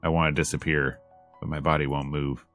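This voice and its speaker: A man with an extremely deep voice reads a visual novel aloud. His narration is measured and dramatic, with emphasis on the emotional lines. Music plays softly behind him. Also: level tone. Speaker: let's player reading a visual novel aloud. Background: game music.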